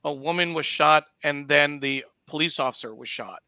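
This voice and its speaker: A man with a bright, clear voice hosts an online conversation, recorded dry and close to the ear. He speaks conversationally, feeling his way through phrases with some hesitation. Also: fairly high pitch, normal speed, no room sound. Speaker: guest host of an online discussion show. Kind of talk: conversational interviewing.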